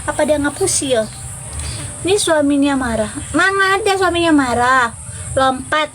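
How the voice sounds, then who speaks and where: A woman's voice: high-pitched, lively intonation, normal speed, fairly bright tone, poor audio. A woman chatting casually and informally with viewers as the host of a live stream. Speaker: livestream host.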